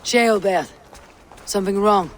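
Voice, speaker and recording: Steady viking warrior in a game, highish voice, short firm lines, bit of background noise. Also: male voice, a little slow. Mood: firm, stern. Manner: terse, firm.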